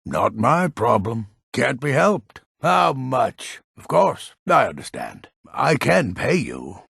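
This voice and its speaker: Very gravelly, grumpy old fantasy villager. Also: male voice, mid-range voice, sing-song, slow, fairly bright tone, dry recording, clean studio sound, heavily acted. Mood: gruff, businesslike. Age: older. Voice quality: gravelly, husky. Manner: grumbling, wary, emphatic. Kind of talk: fantasy video game character acting.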